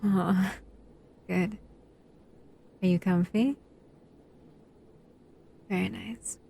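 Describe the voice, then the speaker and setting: A woman voicing a powerful shadow monster who is possessive yet tenderly protective, speaking softly and hesitantly in an intimate roleplay addressed to the listener, often near a whisper. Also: medium pitch, fairly even intonation, extremely slow, creaky, breathy edge, exaggerated voice. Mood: tender, soothing. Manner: soft, soothing, hesitant, often dropping into whispers.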